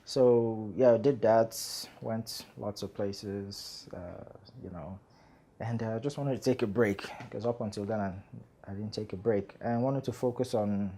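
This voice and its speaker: A man, a screenwriter guest chatting in an interview, relaxed and rambling with many fillers. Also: lowish voice, a little slow. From Nigeria. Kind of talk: casual interview chat.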